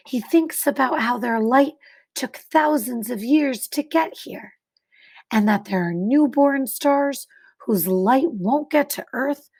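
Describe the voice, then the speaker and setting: Teacher lady doing a kids read aloud, high voice, really slow, expressive w/ big pauses. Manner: deliberate, expressive phrasing with dramatic pauses. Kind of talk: children's picture book read-aloud.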